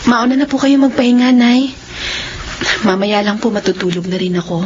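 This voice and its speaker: A young Filipino woman acting in a horror radio drama as an anguished, cursed daughter tormented by hunger, speaking in a slow, halting, dramatic way. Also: fairly high pitch, flat delivery, a little mellow, close to an everyday voice. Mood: polite, subdued. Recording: dull lo-fi radio audio, clear background noise.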